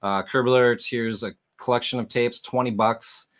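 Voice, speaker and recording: A man's voice: medium pitch, steady tone, normal speed. A man hosting a livestream in a casual, explanatory style, talking directly to viewers like a conversation. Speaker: livestream host and reseller.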